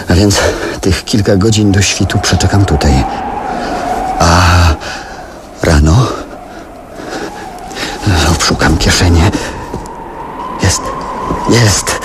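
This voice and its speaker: Radio drama lead: hunted survivor narrating his ordeal, deep voice, tense resolve, noisy recording. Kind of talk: dramatic first-person radio play narration.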